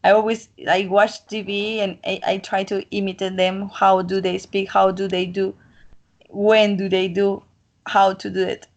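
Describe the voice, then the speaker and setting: Talkative, rambling Colombian-accented woman, native Spanish speaker, confident interviewee, mid pitch. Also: flat delivery, measured pace, muffled recording. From Colombian coast. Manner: talkative, rambling, self-assured. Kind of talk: casual chat.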